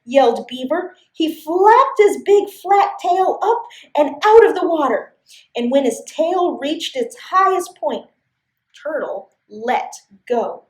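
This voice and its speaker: High-pitched, very animated woman storyteller. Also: slow pace, reverberant, poor audio. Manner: expressive, sing-song, asks the listeners questions. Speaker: library story time host and reader. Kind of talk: animated storytelling for young children.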